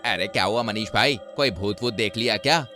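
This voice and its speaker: A man playing a short, cheeky young city man in an animated horror-comedy story. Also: fairly low pitch, fairly quick, music in the background, close to an everyday voice. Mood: sceptical.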